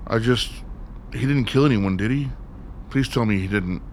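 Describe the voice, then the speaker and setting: An actor playing a distraught, foul-mouthed son in a radio drama, speaking with blunt urgency. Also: male voice, on the low side, normal speed, slight background noise, lightly acted. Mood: subdued, worried.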